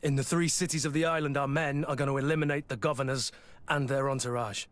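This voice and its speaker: A man's voice: mid-range voice, fairly even intonation, quick pace, close to an everyday voice. An actor playing a stern, honourable mercenary soldier in a video game, blunt and clipped in his delivery. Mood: grim menace. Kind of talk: dramatic video game acting.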